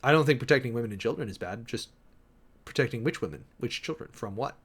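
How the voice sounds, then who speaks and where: A man's voice: medium pitch, animated, fast talker, fairly bright tone, slightly rough. A man giving a dramatic, emphatic reading of an official document, breaking off often for sardonic asides and commentary as host. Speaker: host, preacher.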